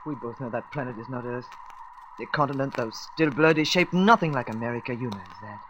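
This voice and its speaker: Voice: medium pitch, a little fast, a bit bright, a little hiss or hum, close to an everyday voice, poor audio. A performer playing an earnest, level-headed scientific space traveller in a radio serial, with precise, formal phrasing. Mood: grave, candid. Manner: precise, formal, insistent phrasing without contractions.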